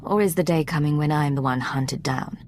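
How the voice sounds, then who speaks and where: A woman playing a composed secret agent in a video game, speaking in a controlled, dry, measured way. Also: low-pitched, a little mellow, slight background noise, lightly acted. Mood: dry, probing. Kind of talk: video game protagonist dialogue acting.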